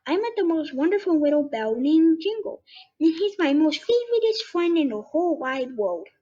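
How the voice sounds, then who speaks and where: A woman's voice: sits high, big pitch swings, moderate pace, mellow, voice pushed up. A young girl voicing an eager little girl bunny from a storybook, gushing and pleading. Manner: pleading, breathless enthusiasm. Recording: dull lo-fi sound with faint noise.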